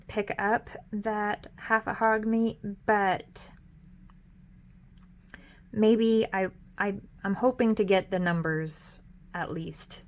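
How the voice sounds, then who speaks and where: A middle-aged woman walking through her family budget in a chatty, upbeat way, a little hoarse and correcting herself as she goes. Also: medium pitch. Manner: chatty and upbeat, with frequent ums and self-corrections. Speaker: budgeting vlogger. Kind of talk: casual budget walkthrough.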